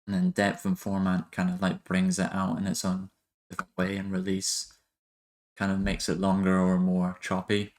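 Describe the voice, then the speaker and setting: Low-pitched, monotone man giving a tutorial. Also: normal speed. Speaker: music production tutorial host. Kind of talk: casual software tutorial narration.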